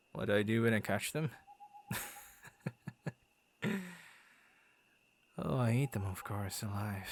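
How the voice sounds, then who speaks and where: Unhurried low-voiced friendly werewolf played by a man. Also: darkish tone, slight background noise. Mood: quietly menacing. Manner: stammering, chuckling, playfully theatrical. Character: friendly, bashful, bored male werewolf guarding his forest. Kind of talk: ASMR boyfriend roleplay.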